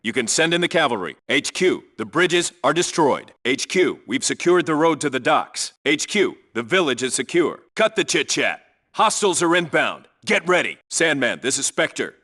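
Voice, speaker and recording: A man's voice: a little high, big pitch swings, moderate pace, bright, forceful tone, exaggerated voice. A man playing a no-nonsense special forces team leader in a video game, reporting in a clipped, businesslike, commanding way. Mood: urgent, commanding. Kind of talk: scripted radio call-outs, video game military acting.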